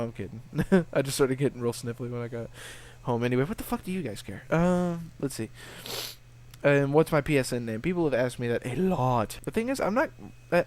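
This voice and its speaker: A young man answers viewer questions in a loose, rambling, laid-back manner, swearing often and restarting his sentences. His voice sits at an ordinary middle pitch and sounds a bit sniffly, as if he has a cold and a sore throat. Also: a little mellow. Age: young adult. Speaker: video game walkthrough channel host.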